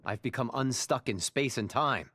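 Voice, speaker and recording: A man's voice: medium pitch, normal speed, pushed and bright, natural voice. A man voicing an earnest, quirky, nerdy superhero scientist in polite video game fight banter. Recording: faintly noisy game audio.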